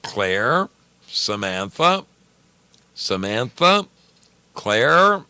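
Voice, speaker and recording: A man's voice: medium pitch, big pitch swings, drawn-out words, bright, forceful tone, clear, resonant tone, dry recording, pitched above the performer's own voice, full character voice. A man voicing a menacing creature that imitates a worried father with insistent, pleading calls, in a ghost story reading. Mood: coaxing, eerie.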